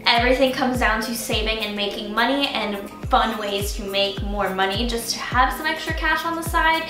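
A woman's voice: on the high side, moderate pace. A woman in her early twenties with an American accent, chatting casually to camera as a lifestyle vlogger in a youthful, chatty way. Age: early 20s. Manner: chatty, youthful, frequent "like" and "kinda". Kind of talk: casual scripted-free vlog chat to camera. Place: small furnished bedroom, indoors. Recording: roomy bedroom echo, faint music. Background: faint music.

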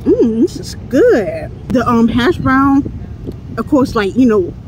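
A woman's voice: a little high, sing-song, slow, low-quality recording. A woman in her thirties reviewing food outdoors, talking casually and playfully into a phone at arm's length between bites. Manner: chatty, playful, laughs often while eating. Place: outdoors beside a building, near traffic. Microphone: phone camera at arm's length. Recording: outdoor phone recording with traffic noise.

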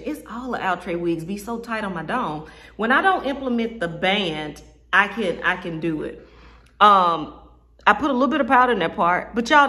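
A woman's voice: mid-range voice, bright, forceful tone, roomy sound. A woman in her thirties reviewing a wig in a casual vlog, talking straight to her viewers in a sassy, joking way while seated indoors. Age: 30s. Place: indoor room with brick wall.

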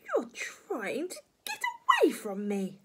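Bossy old storybook granny, wicked, snappy, scolding, high-pitched, wildly swooping, husky edge. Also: female voice, huge pitch swings, slow, a little hiss or hum, full character voice. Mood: menacing. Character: tiny, wicked, bossy, nasty old grandmother. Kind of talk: children's storybook character voice.

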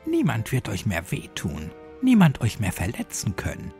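A man's voice: fairly low pitch, wildly swooping pitch, moderate pace, darkish tone, faint music behind. A man voicing a cold, manipulative little girl in a horror audio story, persuasive and commanding. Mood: coaxing.

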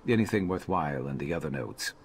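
A man playing a gruff, sardonic rogue adventurer in a fantasy video game, speaking in a dry, terse, conspiratorial way. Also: very deep voice, lively intonation, fast, a little hiss or hum. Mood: dry, businesslike. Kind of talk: scripted game dialogue.

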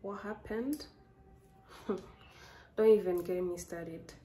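A woman's voice: lowish voice, drawn-out words, mellow. A young woman from Rwanda with a Rwandan accent, chatting casually to a phone camera as a vlogger. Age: young adult. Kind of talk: casual vlog chat to camera. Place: small indoor rooms, speaking to camera. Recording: roomy echo, faint background noise.

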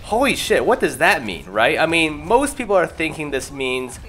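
Higher-pitched young man, casual gaming commentary. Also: very animated, normal speed, pushed and bright. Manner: chatty, informal, self-deprecating. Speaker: gaming video creator. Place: voice-over recorded apart from the gameplay. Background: music.

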